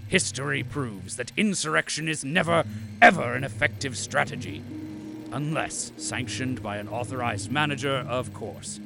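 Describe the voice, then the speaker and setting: A pompous company narrator for an audio drama. His voice is gravelly and mid-pitched, with florid, measured phrasing over clear music. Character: pompous male corporate propaganda narrator.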